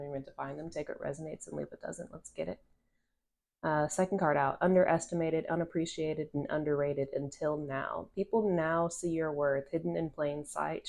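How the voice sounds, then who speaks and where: A woman's voice: low voice, vocal fry, darkish tone. A woman with a stuffy, nasal voice from allergies, giving a casual channeled tarot card reading to a phone camera, rambling with restarts and a lot of "like". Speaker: tarot reader.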